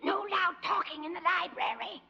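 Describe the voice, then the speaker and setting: A stern shushing librarian. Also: female voice, very high-pitched, normal speed, vocal fry, bright, forceful tone, dull audio, heavily acted. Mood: prim. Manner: stern, snappish. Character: strict shushing female librarian. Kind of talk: 1950s radio sitcom acting. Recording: restored 1950 broadcast, hiss.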